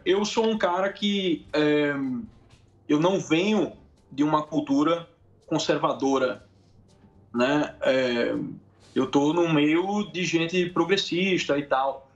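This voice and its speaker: Brazilian guy, pretty high voice, casual interview guest, halting and slangy, kinda dull lo-fi audio. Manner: colloquial, halting, frequent tag questions. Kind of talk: casual interview answers, anecdotes.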